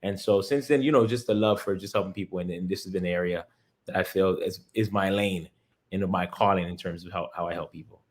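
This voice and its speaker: An American man in his thirties, from Miami, speaks in a fairly low voice as an interview guest. He sounds like a professional breaking his field down for ordinary people. His delivery is conversational and earnest, moving step by step. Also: a little fast. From Miami, United States. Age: 30s. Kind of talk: casual explanatory interview talk.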